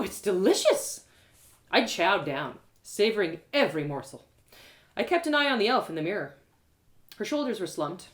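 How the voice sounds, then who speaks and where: A woman reading her own story aloud live as an expressive narrator. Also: fairly low pitch.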